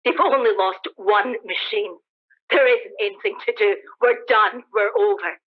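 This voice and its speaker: A woman's voice: medium pitch, moderate pace, lightly acted. A woman acting a cynical, defiant soldier survivor in a radio play, speaking in an emphatic, colloquial way. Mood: grim, resigned. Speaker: amateur actor in a radio play. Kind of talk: dramatised radio play dialogue. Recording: thin phone-like band-limited sound.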